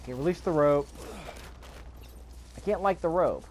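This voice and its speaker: A man's voice: fairly high pitch, normal speed, a little mellow, a little hiss or hum. A man giving casual, off-the-cuff gameplay commentary, musing aloud and repeating his phrases. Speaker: gaming video host. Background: faint video game ambience.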